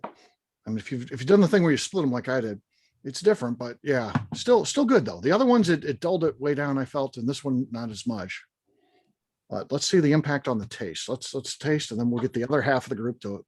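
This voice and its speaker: A man's voice: medium pitch, very animated, brisk, darkish tone, breathy edge, no echo. A man hosting a bourbon tasting in a casual chat, steering the group and laughing often.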